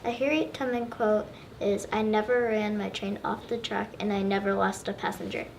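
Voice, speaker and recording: A woman's voice: mid-range voice, fairly even intonation, moderate pace, creaky. A girl of about eight or nine reciting a first-person school presentation as a historical figure. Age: child, about 8 or 9. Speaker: third grade student presenter. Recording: distant mic, faint room noise.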